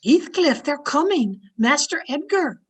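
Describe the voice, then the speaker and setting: A woman's voice: high voice, big pitch swings, a little slow, background noise. A woman playing an older, haunted housekeeper telling her tale in a dramatic, earnest old-time radio style. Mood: urgent. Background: music.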